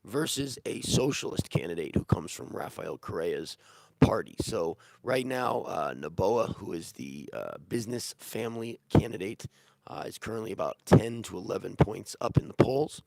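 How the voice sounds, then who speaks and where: A man with a very low, gravelly voice, hoarse because he is sick. He answers questions in a relaxed, rambling way with plenty of fillers and restarts. The sound is dry and close. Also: moderate pace, no room sound, low-quality recording. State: sick, losing his voice. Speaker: real estate agent answering viewer questions. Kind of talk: casual Q&A livestream.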